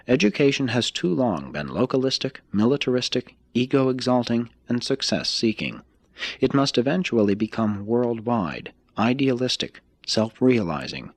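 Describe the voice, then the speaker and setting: Male audiobook reader, husky, dry close sound, measured and emphatic scripted delivery. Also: mid-range voice, no echo. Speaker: reader of a spiritual text. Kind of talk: scripted audiobook-style reading.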